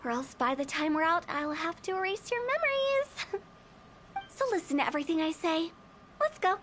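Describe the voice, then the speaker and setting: A woman's voice: very high voice, big pitch swings, normal speed, a bit bright, slight background noise, heavily acted. A woman voicing a playful, eerie young female funeral parlor director in a video game, teasing, theatrical and self-assured. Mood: playfully warning. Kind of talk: video game character acting.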